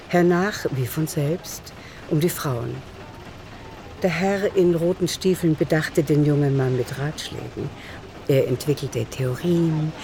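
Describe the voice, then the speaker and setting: A woman narrating a literary radio play in the third person, with measured composure. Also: low voice, background noise.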